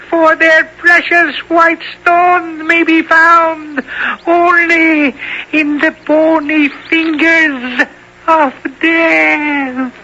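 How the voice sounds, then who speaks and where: A woman's voice: very high voice, pushed and bright, heavily acted. An actress playing a sinister, mocking old witch in a 1970s radio drama, cackling and speaking with menace. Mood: ominous, menacing. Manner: cackling, slow, menacing delivery. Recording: dull, noisy old radio broadcast recording.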